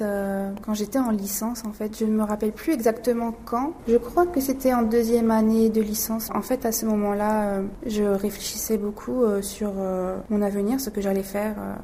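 A young woman answers interview questions, pausing often and filling the gaps with hesitations. Her voice is mellow and dark at a middle pitch. A faint ambience sits behind her. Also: flat delivery, slight background noise. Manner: hesitant, many fillers. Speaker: interpreting student.

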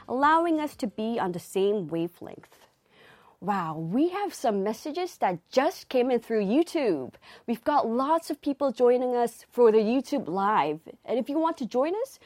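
A woman's voice: fairly high pitch, a little mellow, no echo. A woman DJ hosting a live radio show in the studio, reading out listener messages in a bubbly, nervous way on her first broadcast.

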